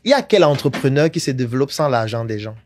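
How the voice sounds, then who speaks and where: A man's voice: medium pitch, very animated, fast talker, darkish tone, slight background noise. A man debating on a podcast, lecturing emphatically and firing rhetorical questions. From Africa. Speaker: podcast guest, entrepreneurship coach. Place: studio podcast set.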